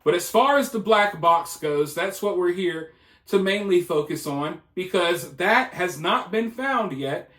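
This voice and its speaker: A man's voice: sits high, animated, a little slow, fairly bright tone. A man giving casual news commentary in a speculative, insistent way, often repeating his points. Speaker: video channel commentator. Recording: roomy, echoing voice.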